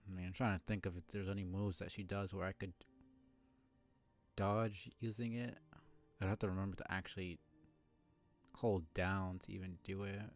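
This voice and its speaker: A man's voice: low voice, vocal fry. A man giving casual live gaming commentary, thinking aloud as he plays and chatting with viewers. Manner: musing aloud while playing, chatty with viewers. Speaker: live game streamer.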